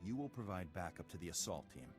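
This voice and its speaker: A man playing a stern, patient Jedi master in a video game, speaking in a measured, formal, authoritative way. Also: deep voice, sing-song, music in the background. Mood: firm, instructive.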